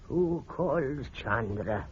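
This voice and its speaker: An actor playing a dying mystic and spiritualist, solemn and halting, in a radio horror play. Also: male voice, mid-range voice, lively intonation. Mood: solemn, fading. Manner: solemn, halting, speaks of himself by name. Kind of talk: old-time radio horror acting. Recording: muffled, hissy old radio recording.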